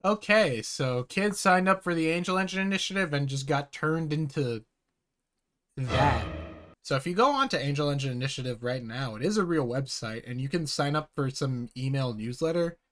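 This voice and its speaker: A man's voice: on the high side, lively intonation, normal speed, a bit bright. A man giving casual, sweary reaction commentary as a YouTuber, with exasperated sarcasm and a tendency to exclaim.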